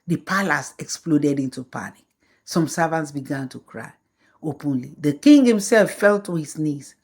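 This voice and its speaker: A woman telling a folktale as scripted storybook narration, in a slow, measured way. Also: low-pitched, expressive, darkish tone, breathy edge.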